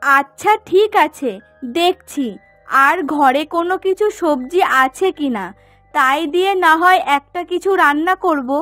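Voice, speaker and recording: Woman voicing a very high-pitched cartoon village girl. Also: a little slow, a bit bright, dry recording. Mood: resigned, practical. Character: caring, anxious young village daughter of a fisherman. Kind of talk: Bengali cartoon moral story voice acting. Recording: music behind the voice.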